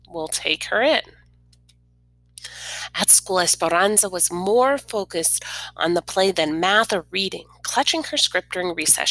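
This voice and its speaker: Bright-voiced woman reading to children. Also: fairly low pitch, vocal fry, no echo, poor audio. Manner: informal, explaining feelings simply to young listeners. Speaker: read-aloud storyteller. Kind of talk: expressive children's picture book reading with gentle explanatory asides.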